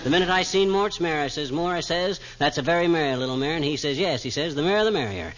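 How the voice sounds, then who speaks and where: An actor playing a boastful, folksy middle-aged husband in a 1940s radio comedy, rattling off tongue twisters with bad grammar. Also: male voice, on the high side, animated, fast talker, a bit bright, highs cut off. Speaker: comic lead. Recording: scratchy noisy 1940s radio broadcast.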